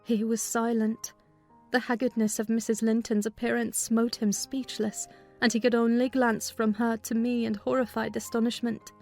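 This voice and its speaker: A woman narrator reading a classic novel as a dramatized audiobook, in an expressive literary manner. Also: a little high, moderate pace. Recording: music behind the voice.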